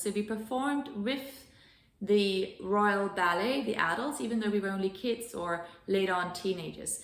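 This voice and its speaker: A woman from Denmark, a wellness coach and host, telling her own story in an earnest, enthusiastic way with many fillers and restarts. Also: mid-range voice. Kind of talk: solo self-introduction on a sofa. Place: living room sofa. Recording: roomy echo.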